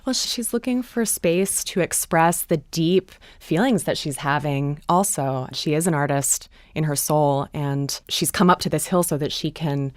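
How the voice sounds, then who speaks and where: A woman's voice: deep voice, a little slow, hardly any pauses, no echo, studio quality. A woman playwright explaining her work thoughtfully in a panel discussion. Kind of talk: panel discussion about a play.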